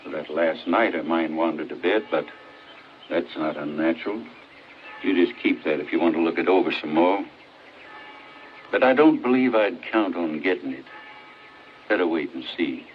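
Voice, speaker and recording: An actor playing a small-town doctor, matter-of-fact and measured, in a 1940s film drama. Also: male voice, deep voice, close to an everyday voice. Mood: cautionary. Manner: measured, plain-spoken. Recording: muffled, hissy 1940s film soundtrack.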